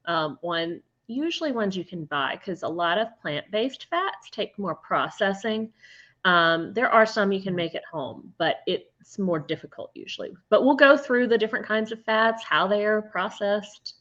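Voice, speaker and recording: A woman's voice: mid-range voice, a bit bright. Woman teacher chatting, lots of ums and little laughs, muffled call audio. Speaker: homeschool class teacher. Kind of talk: casual chat.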